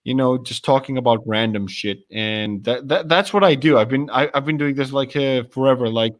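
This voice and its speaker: A young man with a deep voice chats casually on a stream. He rambles through stories in an animated way, full of fillers and restarts. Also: moderate pace. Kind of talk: casual chat.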